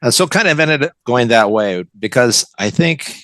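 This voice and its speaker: A man's voice: medium pitch, expressive, moderate pace, fairly bright tone, no room sound. An older man with an American accent, talking reflectively in a conversational interview and often repeating his words. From West Coast of the United States. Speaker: interview guest, writer.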